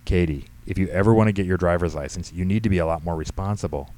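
A man's voice: low voice, fast, warm tone, natural voice. A man voicing a weary, exasperated middle-aged father, stern and long-suffering, in an audiobook story. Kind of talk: audiobook character dialogue.

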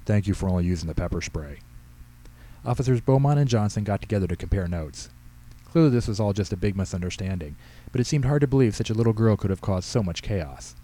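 A man narrates a short story of his own from a script. His voice sits fairly low and is very mellow and dark in tone. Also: animated, quick pace. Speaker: author reading own story.

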